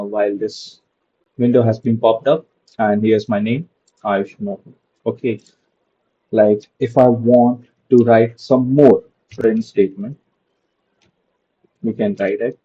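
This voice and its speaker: A man's voice: mid-range voice, warm tone, breathy edge, lo-fi sound. A young man giving a casual screen-share coding lesson, padding his talk with "okay" and "so". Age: young adult. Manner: loose, repeats "okay" and "so" a lot. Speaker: programming tutor.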